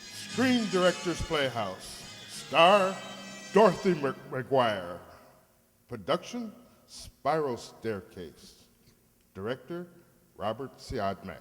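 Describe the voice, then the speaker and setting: A grand old-time radio announcer, played by a man, emphatic and declamatory. He speaks slowly with big swings in pitch, his voice echoing in a hall. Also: big pitch swings, a bit bright, soft background music. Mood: grand, dramatic. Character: dramatic 1940s radio announcer. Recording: long hall reverb.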